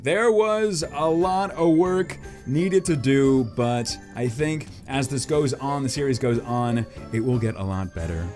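A man in his thirties chatting casually and upbeat as a YouTube host, recorded at a desk microphone in a small home studio. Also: mid-range voice. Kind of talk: casual upbeat vlog chat. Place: small home studio with acoustic foam panels.

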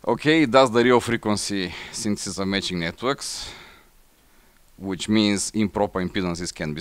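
A middle-aged man with a Bulgarian accent gives a technical slide presentation, speaking haltingly with frequent pauses. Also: deep voice, clean tone, dry recording. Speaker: conference presenter, engineer. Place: indoor forum room, seated at a table before a banner.

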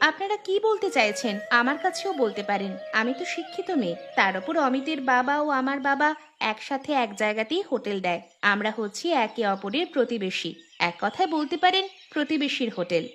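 A woman's voice: sits high, sing-song, fairly quick, a bit bright, faint music behind. A woman voicing an eager, scheming, educated young village daughter in a Bengali cartoon, speaking emphatically. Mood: eager, persuasive.

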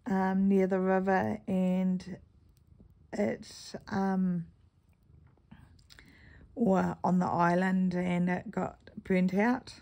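A woman with a New Zealand accent chatting casually in a stitching vlog, rambling through a story and often clearing her croaky throat. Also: medium pitch, little pitch movement, drawn-out words, long pauses, a little mellow. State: croaky morning voice. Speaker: cross-stitch vlogger.

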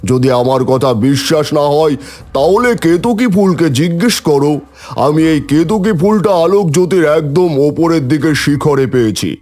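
A man's voice: fairly high pitch, animated, a little slow, darkish tone. A man voicing a proud, boastful creator god with a pompous, declamatory manner in a mythological cartoon. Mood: insistent.

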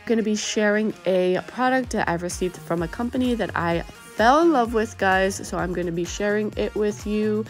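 A woman's voice: mid-range voice, moderate pace, hardly any pauses, soft background music. Congested, chatty young woman vlogger. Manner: upbeat, chatty, addresses viewers as guys. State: congested, slightly cracky voice. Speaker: cleaning vlogger. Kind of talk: casual vlog narration.

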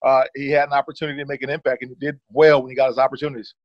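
A man's voice: medium pitch, racing, no room sound. A man in his thirties, a former running back, casually breaking down game film as a guest, often saying "certainly.". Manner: relaxed, leans on "certainly" and "uh". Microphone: video call. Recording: dull dry video-call audio.

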